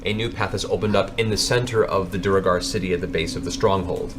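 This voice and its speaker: A man's voice: low-pitched, steady tone, quick pace. A man narrating a tabletop game as game master, improvising as he goes.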